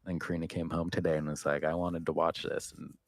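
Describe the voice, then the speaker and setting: A man's voice: rumbling low, flat delivery, fast, no echo, studio quality. A man co-hosting a podcast in casual chat, rambling and trailing off mid-thought. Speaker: podcast co-host.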